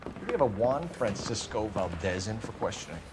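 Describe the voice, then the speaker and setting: A male actor playing a hard-nosed American police detective with a clipped, forceful interrogating manner in a noir crime video game. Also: fairly low pitch, very animated, moderate pace, background noise. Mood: brisk, businesslike. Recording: background music under dialogue.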